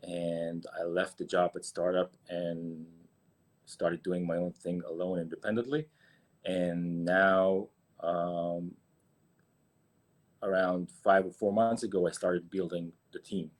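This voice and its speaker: A man's voice: deep voice, level tone, takes it slowly. A man from Israel with an Israeli accent, speaking English as a guest in a casual interview, hesitant with many restarts. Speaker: guest, agency founder.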